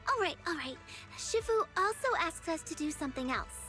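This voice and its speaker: A woman's voice: very high voice, expressive, fairly quick, bright, forceful tone, exaggerated voice. An actress playing a cheerful, playful young female companion in an anime game, breezy and friendly. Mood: breezy, businesslike. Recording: game music underneath.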